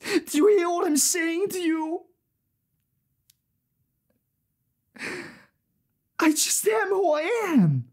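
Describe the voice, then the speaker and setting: Desperate adult son acted by a man, high strained husky voice, impassioned family-drama monologue. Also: animated, slow, a little mellow, heavily acted. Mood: pleading, tearful. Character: anguished adult son confronting his father, American drama.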